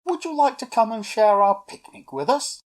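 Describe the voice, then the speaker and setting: Woman as a high-pitched, polite little male elf. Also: big pitch swings, brisk, warm tone, exaggerated voice. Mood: friendly. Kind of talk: children's storybook character voice.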